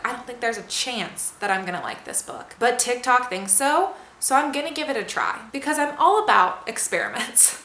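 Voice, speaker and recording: A woman's voice: on the high side, pushed and bright, roomy sound, a little hiss or hum. A woman giving a casual, chatty book review vlog, candid and prone to exaggeration as she interrupts herself.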